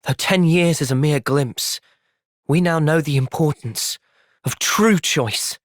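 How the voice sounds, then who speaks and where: A man with an English accent voicing an earnest, thoughtful young soldier hero in a fantasy video game, speaking in a measured, reflective way. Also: a little high, no echo, studio quality. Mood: calm, thoughtful. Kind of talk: video game cutscene acting.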